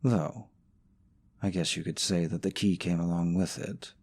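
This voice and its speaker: Smug, low-voiced possessive boyfriend played by a man. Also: fairly even intonation, a little slow, darkish tone. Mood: smug, mocking. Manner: smug, sarcastic, cloyingly sweet to listener. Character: smug possessive young boyfriend stalker, sneering at his rival. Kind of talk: scripted romantic audio roleplay.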